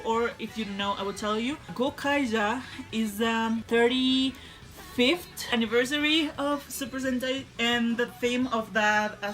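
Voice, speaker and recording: A woman's voice: a little high, fairly bright tone. Chatty young woman. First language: Spanish. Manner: chatty and enthusiastic, with many "like" and "uh" fillers. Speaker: vlogger. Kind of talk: casual fan vlog.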